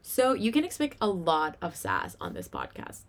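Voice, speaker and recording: A woman's voice: lowish voice, wildly swooping pitch, normal speed. A young woman with a Venezuelan Spanish accent, a native Spanish speaker, talking casually as a podcast host in a chatty, giggly, informal way. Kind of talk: casual solo podcast monologue.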